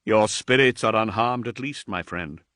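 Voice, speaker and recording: A man's voice: fairly low pitch, normal speed, sharp tone, no room sound, clean studio sound. A man voicing an aged, devoted male servant who speaks with deference in an audiobook. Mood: deferential. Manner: pleading, deferential.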